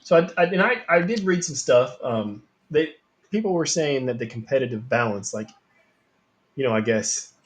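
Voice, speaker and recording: A man hosting a casual chat, easygoing, with lots of fillers and quick agreement. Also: mid-range voice, lively intonation. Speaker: host.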